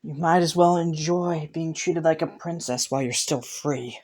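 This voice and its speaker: A man's voice: fairly high pitch, normal speed, a little mellow, close to an everyday voice. A man playing a haughty, teasing young crown prince in a romantic fantasy audio roleplay, suspicious yet intrigued, with a smug manner. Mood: smug, threatening. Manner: teasing, smug, chuckling and sighing between phrases.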